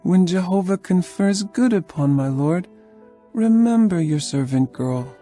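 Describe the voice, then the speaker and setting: A woman playing a humble, pleading wife in ancient Israel in a dramatized scripture reading, reverent and measured. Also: lowish voice, expressive, dark tone, soft background music.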